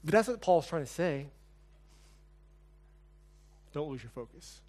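A man's voice: on the high side, animated, a little slow, darkish tone, slight background noise. A pastor closing a sermon and leading a prayer in church, earnest, building his lines in repeated phrases. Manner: earnest, repetitive praying cadence.

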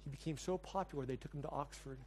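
A man's voice: fairly high pitch, quick pace, a little mellow, a little hiss or hum. A pastor closing a sermon and leading a prayer in church, earnest, building his lines in repeated phrases. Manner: earnest, repetitive praying cadence.

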